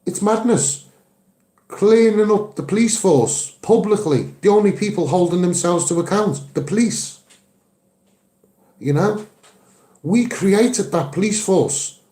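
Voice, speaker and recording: A Scouse man speaks as a campaigner in a roomy, echoing space. His voice sits a little high for a man but is soft-edged and mellow. He is earnest and emphatic, often repeating phrases to drive them home. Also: animated, a little slow, pauses often. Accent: Liverpool (Scouse). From Liverpool, England. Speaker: activist and online video maker. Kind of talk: impassioned campaigning monologue to camera.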